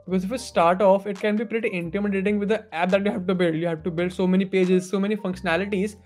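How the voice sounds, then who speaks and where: A man's voice: high-pitched, fairly even intonation, fast talker, darkish tone, faint music behind. A man, asking casual interview questions as a podcast host. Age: 20s. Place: small indoor room.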